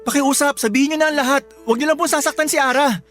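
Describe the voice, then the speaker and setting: A man's voice: very high voice, fairly even intonation, rapid-fire, a bit bright. A man playing a polite, protective young boyfriend in a Filipino radio drama, speaking deferentially. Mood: pleading, protective. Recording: music behind the voice.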